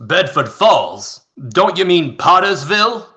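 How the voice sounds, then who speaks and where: Radio play barkeep: male actor as brusque tough guy, highish, forceful, unhurried but impatient. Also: big pitch swings, highs cut off, exaggerated voice. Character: gruff, impatient male bar boss. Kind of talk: old-time radio play live reading.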